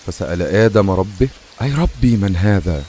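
A man's voice: sits low, lively intonation, fairly quick, warm tone, a little hiss or hum. A man playing a humble first man addressing God in a religious story dramatization. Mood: humble, asking.

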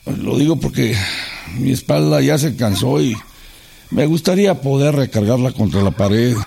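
A courteous Mexican revolutionary in a radio drama. His voice is husky and creaky, mid-pitched, with a hesitant, deferential way of talking and a worn-out, uneasy air. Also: expressive, moderate pace, warm tone, heavily acted. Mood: weary, impatient. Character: polite, weary revolutionary organizer, uneasy but courteous man. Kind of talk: Spanish-language radio drama dialogue.

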